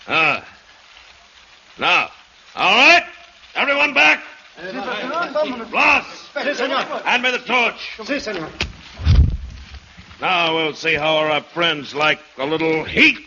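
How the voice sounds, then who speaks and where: An actor playing a defiant, iron-willed plantation owner with a commanding, deliberate, theatrical delivery in 1940s radio adventure style. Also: male voice, high-pitched, animated, bright, forceful tone, slight background noise, full character voice. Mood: urgent command. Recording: muffled vintage radio recording, dramatic music.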